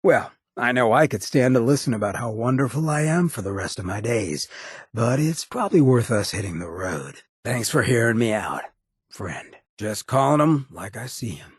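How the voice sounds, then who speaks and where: An actor playing a roguish, irreverent ghoul in a video game, with a raspy American voice and a wry, cocky, streetwise drawl. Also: male voice, medium pitch, animated, normal speed, no room sound, studio quality. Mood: warm, teasing. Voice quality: raspy, gravelly. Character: roguish drug-loving irradiated ghoul, swaggering, irreverent, loyal. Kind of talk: video game companion dialogue.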